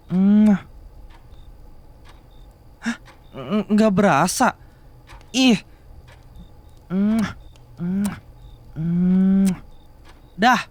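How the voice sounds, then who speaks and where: A man playing a devoted, bashful younger boyfriend in love with his boss, speaking softly and haltingly in an intimate ASMR romance roleplay. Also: sits high, exaggerated voice. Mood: playful, coaxing. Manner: soft, halting, tender, with murmured hums.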